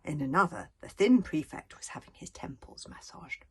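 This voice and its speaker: A woman's voice: low-pitched, sing-song, moderate pace, fairly bright tone. A woman reading a children's story aloud with dramatic emphasis, occasionally stumbling over her words. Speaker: author reading own book aloud.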